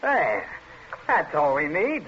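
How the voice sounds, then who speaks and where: Jauntily callous henchman, highish voice. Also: wildly swooping pitch, slow, sharp tone, heavily acted. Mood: jaunty. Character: cheerfully callous, obedient henchman taking brutal orders. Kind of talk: 1940s radio suspense acting. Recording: dull, noisy vintage 1940s radio recording.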